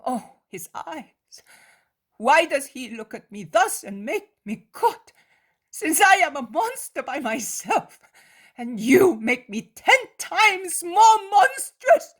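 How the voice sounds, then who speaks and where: A woman voicing a guilt-ridden, torn male disciple who pleads in high-strung outbursts. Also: big pitch swings, takes it slowly, exaggerated voice. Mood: anguished.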